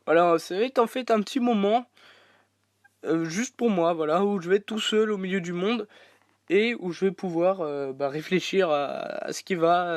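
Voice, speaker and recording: A man's voice: sits high, no echo, studio quality. A French man with a head cold, rambling through casual gameplay commentary with many fillers and restarts. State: congested with a cold. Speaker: gaming YouTuber.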